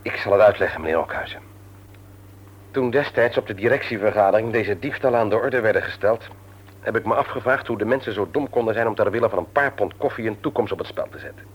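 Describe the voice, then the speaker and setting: A man's voice: lowish voice, lively intonation, fast talker, slightly rough. An actor playing a mild, hesitant office manager and family man in a Dutch radio play, polite and apologetic. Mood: hesitant, earnest. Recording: muffled old radio play recording.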